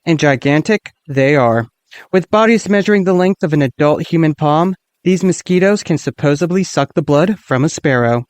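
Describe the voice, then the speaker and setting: A man playing a sober radio news reporter, reading a scripted report in a measured, formal way. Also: fairly high pitch, lively intonation, no room sound, close to an everyday voice. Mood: serious, concerned.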